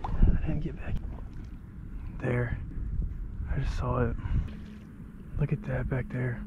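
A young American man giving casual, excited running commentary to his viewers while fishing from a kayak outdoors, picked up by a camera mounted on the boat. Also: medium pitch, takes it slowly, highs cut off, noisy background. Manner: excited, loose, swears casually, talks to viewers as guys. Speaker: fishing vlogger. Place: kayak on open shallow water outdoors. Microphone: action camera mounted on kayak.

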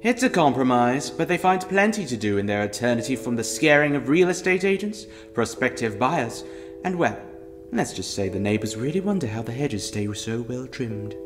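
A wry male storybook narrator, as an actor plays him, theatrical and droll. His mid-pitched voice swings expressively through scripted narration over faint music. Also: lively intonation, moderate pace, close to an everyday voice.

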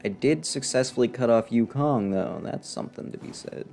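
A young man streaming a video game from a close desk mic, giving casual, sarcastic, indifferent commentary in a deadpan way. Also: medium pitch, normal speed, vocal fry. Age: young adult. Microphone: desk microphone close to the face. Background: game music.

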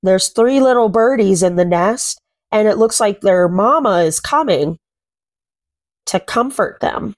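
Slow-paced woman reading a storybook aloud. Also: medium pitch, animated. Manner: simple, deliberate phrasing with frequent questions to the listener. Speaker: children's read-aloud host. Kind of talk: storybook read-aloud with commentary and questions to young listeners. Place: small furnished room at home.

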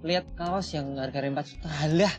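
A man's voice: a little high, brisk, over music. A young man, a cafe owner being interviewed, talking in a modest, laid-back way with dry humor. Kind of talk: casual interview chat. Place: small bright cafe indoors.

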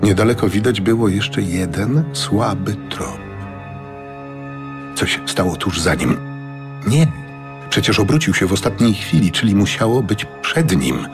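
Low-pitched male audiobook narrator. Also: lively intonation, measured pace. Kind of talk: scripted fantasy audiobook narration. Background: music.

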